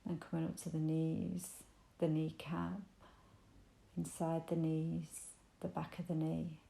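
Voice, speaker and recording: A woman with a mellow, creaky voice guides a meditation gently and soothingly. Her delivery stays very even and invitational, with unhurried pauses between phrases. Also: deep voice, echoey room, rough recording. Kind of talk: guided body scan meditation.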